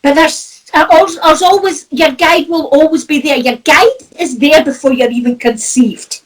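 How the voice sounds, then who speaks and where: A woman's voice: high-pitched, normal speed, distant mic. A Scottish woman with a Doric accent, a spiritual medium chatting casually and telling anecdotes, with lots of "you know" and laughter. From Scotland. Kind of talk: casual chat about spirituality.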